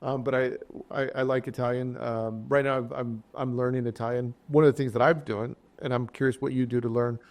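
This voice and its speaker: Gravelly-voiced man hosting a chat. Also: medium pitch, little pitch movement, fairly quick, hardly any pauses. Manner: chatty, stammering repeats. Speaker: podcast host. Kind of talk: casual interview talk.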